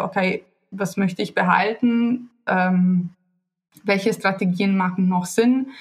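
Podcast guest: woman, unhurried and hesitant, mid-range voice. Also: mid-range voice. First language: Italian. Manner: hesitant, searching for words with many fillers. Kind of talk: casual conversation.

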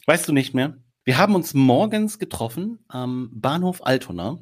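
A man's voice: mid-range voice, lively intonation, normal speed. A man hosting a podcast in casual chat, talking loosely with many filler sounds. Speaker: podcast host.

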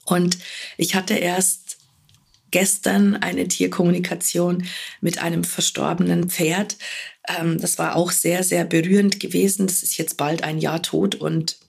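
A woman hosting her own podcast as an animal communicator, talking in a gentle, earnest way, hoarse from a cold. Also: lowish voice, little pitch movement, a little slow. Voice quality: husky, gravelly. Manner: gentle, earnest, with fillers and repeated words. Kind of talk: solo podcast monologue.

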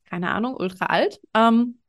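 A woman's voice: on the high side, measured pace. A woman in her thirties hosting a conversational podcast interview in an informal, enthusiastic way. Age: 30s. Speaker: podcast host.

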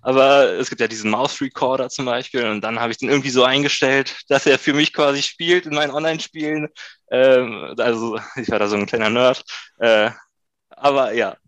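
A young man in his late twenties, from Berlin, talks through his past in an easy, halting way, with fillers and quick laughs in between. His voice sits at a middle pitch. The sound is dry and close, with no room around it. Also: no echo. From Berlin, Germany. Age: 20s. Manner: relaxed, hesitant, with many fillers and short laughs. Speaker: guest. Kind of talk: casual interview chat.

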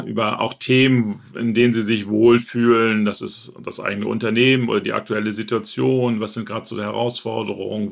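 A man's voice: on the low side, gravelly, fairly bright tone. A man from northern Germany, a podcast host answering interview questions in a chatty way, thinking aloud as he talks. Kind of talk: relaxed conversational interview.

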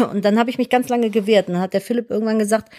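A young adult woman with a deep voice and a slight lisp, co-hosting a podcast in casual, candid chat. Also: steady tone, fast talker, a little mellow. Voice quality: self-described deep voice with a lisp. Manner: chatty, candid, frequent fillers like "ne?". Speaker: podcast co-host.

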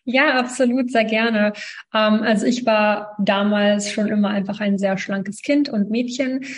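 A woman in her twenties from Ukraine, telling her story as a guest in a relaxed interview, talking with lots of fillers and small laughs. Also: medium pitch, unhurried. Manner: chatty, many fillers, laughs between sentences. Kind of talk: casual interview talk.

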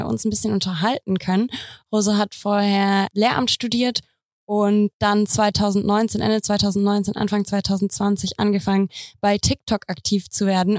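A woman's voice: mid-range voice, no room sound, studio quality. A woman hosting a podcast, introducing her guest and asking questions with enthusiastic interjections. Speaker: podcast host. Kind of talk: casual interview chat.

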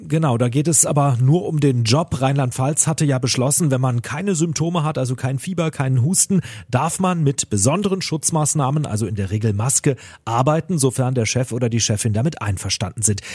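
A man's voice: mid-range voice, brisk, hardly any pauses, darkish tone, slightly rough, no room sound, clean studio sound. A man, a radio news editor, explaining the news in an informal way. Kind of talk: explanatory news talk with the presenter.

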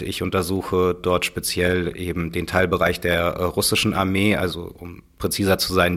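Very deep-voiced man, halting. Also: a bit bright. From Ukraine. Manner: halting, many fillers and self-corrections. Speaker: doctoral researcher guest. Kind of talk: interview self-introduction.

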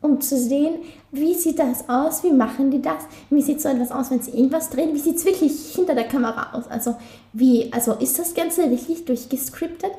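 A woman's voice: brisk, dark tone, reverberant. A young woman from Austria with a light Carinthian-tinged German accent, chatting as an interview guest, laughing often and restarting her sentences. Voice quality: high voice. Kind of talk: casual interview chat.

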